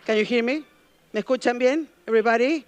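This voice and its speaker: A middle-aged woman from Peru with a Peruvian Spanish accent, giving a live talk as a journalism professor. She engages the crowd with questions and pauses often with ums and uhs. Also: fairly high pitch, heavy background noise. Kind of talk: live stage talk to an audience. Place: event stage before a live audience.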